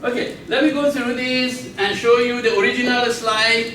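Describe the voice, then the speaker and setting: A man with a high voice lectures a class at a slow, deliberate pace, enunciating carefully and often restarting his sentences. He sounds set back from the mic in an echoey classroom, with faint noise behind him. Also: fairly even intonation, bright, forceful tone, distant mic. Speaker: university lecturer. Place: university classroom.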